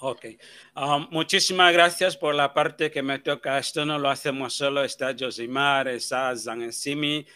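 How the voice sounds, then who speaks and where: A man's voice: mid-range voice, fairly even intonation, moderate pace, bright, forceful tone, clean tone, no room sound. A man hosting an online radio interview, plainly laying out the topics in an organized way. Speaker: interview host. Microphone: earphones with small mic on video call.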